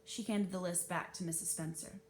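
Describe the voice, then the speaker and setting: A woman reading a children's novel aloud as an expressive narrator. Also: brisk. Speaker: read-aloud reader. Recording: noisy with low rumble.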